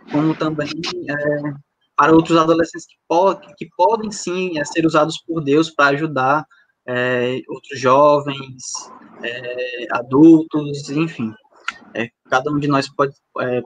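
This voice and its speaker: A man of twenty, hosting a casual livestream chat in a halting, hesitant way. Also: a little high, a bit bright, poor audio. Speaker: youth livestream host.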